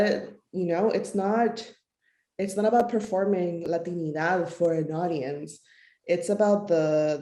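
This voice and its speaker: Mellow woman in an echoey room. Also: lowish voice. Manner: halting, searching for words. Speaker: author panelist. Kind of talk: panel discussion, casual but earnest. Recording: roomy, echoey sound.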